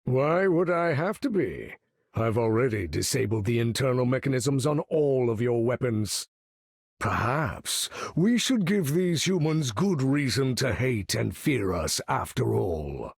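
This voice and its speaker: Husky, slow, grandly menacing mutant leader. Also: male voice, medium pitch, dry recording, clean studio sound, full character voice. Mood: threatening. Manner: grandiose, measured, theatrical delivery. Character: proud, menacing mutant leader, commanding supervillain with grand bearing. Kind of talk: video game character voice lines.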